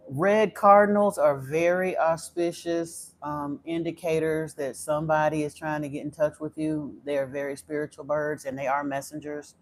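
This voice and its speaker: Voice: medium pitch, fairly even intonation, normal speed, hardly any pauses. Chatty, direct livestream spiritual host. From Florida, United States. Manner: chatty and direct, turns blunt and stern; frequent fillers and self-restarts. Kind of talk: casual livestream chat answering viewer comments.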